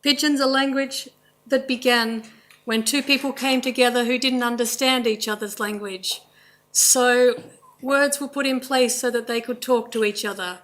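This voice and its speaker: A woman with an Australian accent giving a formal, measured presentation in a courtroom, speaking in short listed phrases. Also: fairly high pitch, fairly even intonation, a bit bright. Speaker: language presenter at a court inquest.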